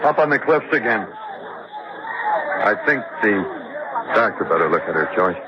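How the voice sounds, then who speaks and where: An actor playing an uneasy guard at a remote camp in an old radio drama. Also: male voice, on the low side, sing-song, normal speed, over a noisy crowd. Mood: grim, weary. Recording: very noisy, dull old radio recording.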